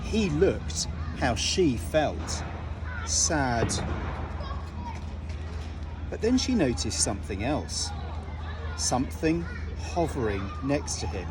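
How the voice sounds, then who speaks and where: Slow, animated male storybook reader. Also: mid-range voice, over music, crowd noise. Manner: expressive, deliberate storytelling with dramatic pauses. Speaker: teacher reading a storybook aloud. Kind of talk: children's storybook narration.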